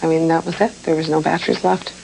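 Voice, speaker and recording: A woman's voice: low-pitched, little pitch movement, fast talker, vocal fry, warm tone. A woman casually recalling a family rescue in an interview. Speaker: relative recounting a rescue. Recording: noisy old TV documentary tape.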